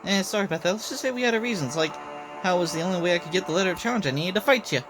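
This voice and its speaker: A man's voice: high-pitched, animated, racing, over music, pitched above the performer's own voice. A man voicing an easygoing young game hero in a casual, cheeky, friendly way.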